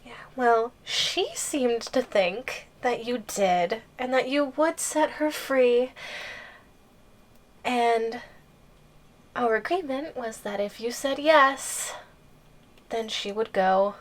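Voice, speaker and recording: An obsessive giantess girlfriend , acted by a woman, in an ASMR roleplay. Her voice sits fairly high and moves very slowly, with coy hesitations. She sounds sweet and playful while keeping a possessive, menacing edge. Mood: smug. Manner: slow, coy, hesitant phrasing with teasing menace.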